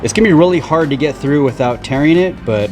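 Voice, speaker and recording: Man, slightly high voice, casual how-to vlog, plain-spoken instructions, faint background music. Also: lively intonation, quick pace. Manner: informal, plain-spoken step-by-step explaining. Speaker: vlog host. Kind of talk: casual hands-on how-to talk.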